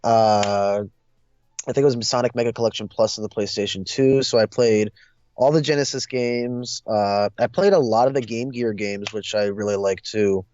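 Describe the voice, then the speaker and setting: A young man co-hosting a casual discussion, talking in a hesitant, halting way. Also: lowish voice, darkish tone, highs cut off. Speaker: discussion co-host. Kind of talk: casual chat.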